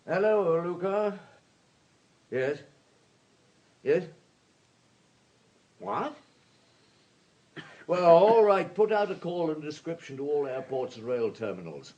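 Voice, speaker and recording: An actor in a radio drama playing a police superintendent with a commanding, probing manner. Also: male voice, on the high side, expressive, takes it slowly, long pauses, a little mellow, lo-fi sound. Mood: brisk, commanding. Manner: commanding, questioning, hesitant murmurs between orders. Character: authoritative male French police superintendent, brisk and probing. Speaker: detective superintendent.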